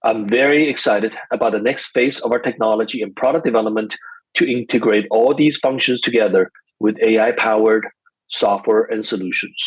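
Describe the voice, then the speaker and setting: A man's voice: lowish voice, breathy edge, dry recording, poor audio. A man in the role of a company executive, formally reading prepared remarks for an earnings call.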